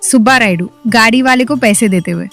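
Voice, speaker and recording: A woman narrating a cartoon story in an even, storytelling manner. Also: on the high side, brisk, faint music behind.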